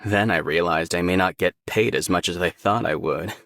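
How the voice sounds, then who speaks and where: A modest young working man from a video game. He talks plainly and easily in a deep voice, with no room sound at all. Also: normal speed, a bit bright, no echo, clean studio sound. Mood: easygoing. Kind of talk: video game character voice line.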